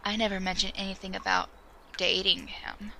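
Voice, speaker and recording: A woman's voice: mid-range voice, little pitch movement, normal speed, vocal fry, sharp tone, a little hiss or hum, poor audio. A woman acting a confident, teasing, supportive teenage schoolgirl in an amateur audio drama, wry and reassuring.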